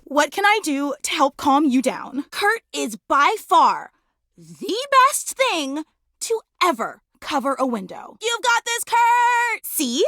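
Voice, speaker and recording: Gushy superfan game girl, high voice that swoops everywhere, excitable n dramatic. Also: slow, no echo, clean studio sound, full character voice. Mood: cheering, supportive. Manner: excitable, dramatic, slangy gushing. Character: bubbly, gushing superfan woman, intensely devoted cheerleader. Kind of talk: video game character voice acting.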